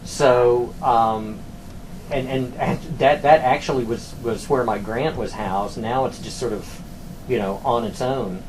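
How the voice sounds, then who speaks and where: A man with a medium-pitched voice discusses work on a conference call. He speaks like an academic, feeling out his phrases in a halting, measured way. Noticeable noise sits behind his voice. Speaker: university academic, former program director.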